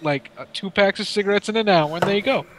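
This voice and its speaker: A man's voice: high voice, fast talker, a little hiss or hum. A man joining a casual gaming chat as a guest, joking and cursing. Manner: joking, animated, profane.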